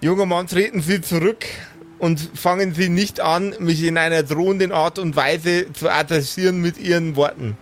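A man's voice: on the high side, steady tone, moderate pace, faint music behind, close to an everyday voice. A man playing a stern, officious policeman in a tabletop game, formal and condescending. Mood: stern, reprimanding. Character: stern, officious policeman scolding young troublemakers.

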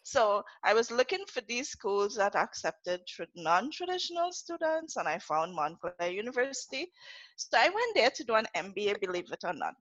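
A woman's voice: medium pitch, sing-song, moderate pace, a bit bright, no room sound, lo-fi sound. A middle-aged woman from Trinidad with a Trinidadian accent, a podcast guest chatting casually and laughing through her story.

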